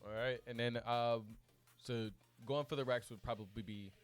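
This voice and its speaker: A man commentates on esports in an easygoing, conversational way. His voice sits at a middle pitch and his phrasing is informal and a little hesitant, with quiet music in the background. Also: fairly even intonation, normal speed, smooth. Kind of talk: casual esports commentary chat.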